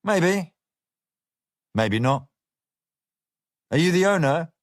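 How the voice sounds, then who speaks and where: A man's voice: on the high side, drawn-out words, no echo, clean studio sound, pitched above the performer's own voice. A man voicing a terse, guarded Englishman looking for work, with an English accent. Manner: terse, calm.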